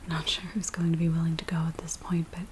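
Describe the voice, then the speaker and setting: A woman playing an anxious, earnest villager in a hushed, breathy, hesitant ASMR roleplay voice. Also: low-pitched, fast talker, close to an everyday voice. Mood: hesitant, hopeful. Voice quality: breathy, whispery soft voice. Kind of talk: soft-spoken ASMR roleplay. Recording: steady rain noise behind close soft voice. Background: rain ambience.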